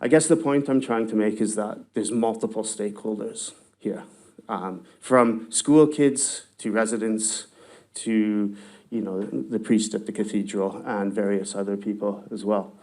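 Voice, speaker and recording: A man's voice: lowish voice, a little slow, a little mellow. A male university researcher giving a conference presentation, talking hesitantly with lots of ums, restarts and trailing phrases. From not from Galway.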